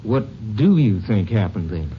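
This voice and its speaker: A man's voice: fairly low pitch, very animated, a little slow. An actor playing a defensive, skeptical small-town lawman with a curt, folksy manner in a 1950s radio crime drama. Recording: muffled, noisy old 1950s radio recording.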